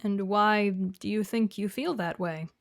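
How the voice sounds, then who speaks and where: Female therapist, audio drama, measured, patient, probing, medium pitch, dry studio sound. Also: vocal fry, no room sound. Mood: calm, encouraging. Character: composed, probing female therapist, gently corrective. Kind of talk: naturalistic audio drama dialogue.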